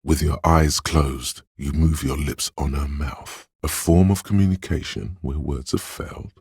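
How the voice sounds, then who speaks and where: A very deep, heavily rasping inner voice from a narrative video game. It coaxes and advises with measured, deliberate drama, intimate and insinuating, in a dry, close sound. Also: male voice, a little mellow, no room sound, heavily acted. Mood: hushed, poetic. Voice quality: deep, gravelly, husky. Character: smooth, insinuating inner voice of social intuition, coaxing, intimate. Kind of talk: scripted game narration as an inner voice giving social advice.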